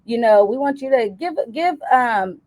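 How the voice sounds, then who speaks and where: A woman's voice: on the high side, animated, normal speed, darkish tone, no room sound. A woman hosting an interview, encouraging her guest with hesitant fillers. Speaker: host.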